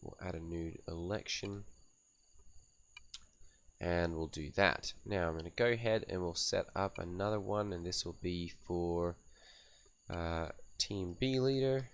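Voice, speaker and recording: A man hosting a gaming channel, raspy and coughy from a cold, casually thinking aloud as he reads out and fills in game settings one by one. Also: sits low, measured pace, slight background noise. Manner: thinks aloud while reading out menu settings. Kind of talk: casual game setup commentary.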